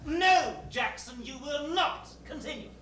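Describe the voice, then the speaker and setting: An actor playing an exasperated, uneasy male hotel boss, halting yet commanding, in a theatrical stage style. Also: very high-pitched, lively intonation. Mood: commanding, fed up. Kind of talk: theatrical two-hander stage acting. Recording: distant roomy stage recording with hiss.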